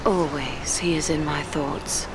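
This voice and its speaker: Game casting: gentle elven lady, deep for a woman, formal and solemn, noisy background. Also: steady tone. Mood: wistful, solemn. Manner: measured, formal, archaic phrasing. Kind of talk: dramatic fantasy video game acting.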